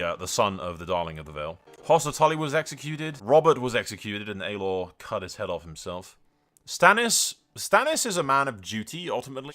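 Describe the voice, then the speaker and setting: A man's voice: sits low, fairly bright tone. A man giving casual, informal commentary on a roleplay game, thinking aloud and slipping into storytelling. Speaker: gaming video host. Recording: music behind the voice.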